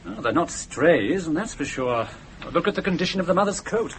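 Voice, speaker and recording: An actor playing a polite, protective young newlywed husband in a radio play. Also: male voice, a little high, lively intonation, fairly quick, lightly acted. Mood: amiable. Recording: noisy radio drama recording.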